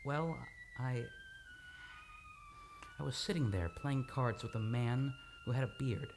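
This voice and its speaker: A man playing a troubled, agitated amnesiac in an old-time radio drama, speaking haltingly and repeating his words. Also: mid-range voice, background noise, close to an everyday voice. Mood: haunted, intense. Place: radio studio, live radio play. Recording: dramatic music under the voice.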